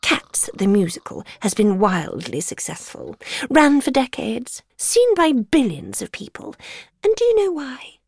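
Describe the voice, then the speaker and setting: A woman's voice: on the high side, huge pitch swings, measured pace, creaky, darkish tone, husky, dry recording, studio quality, full character voice. A woman voicing a smug, haughty pet cat with a condescending, purring drawl in a dramatised children's audiobook. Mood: gloating.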